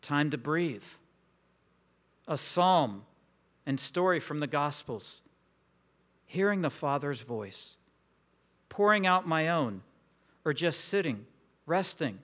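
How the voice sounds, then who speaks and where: Male pastor, unhurried and reflective, slightly high voice, intimate sermon delivery. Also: expressive, a bit bright. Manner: slow, reflective, intimate delivery with long pauses.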